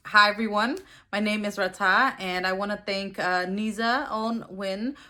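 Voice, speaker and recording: A woman in her forties, born in a refugee camp and raised in the U.S., tells her family's history slowly and thoughtfully, with many pauses and fillers. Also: medium pitch, steady tone, pushed and bright. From born in a Thai border refugee camp, raised in the U.S. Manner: thoughtful, with many ums and uhs. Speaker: guest sharing family history. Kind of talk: recorded personal testimony.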